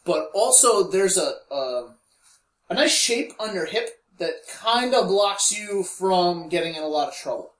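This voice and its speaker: Man, high voice, casual co-host who keeps steering the chat, roomy echo. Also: animated, measured pace, fairly bright tone. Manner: steers back on topic, laughs often. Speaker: podcast co-host.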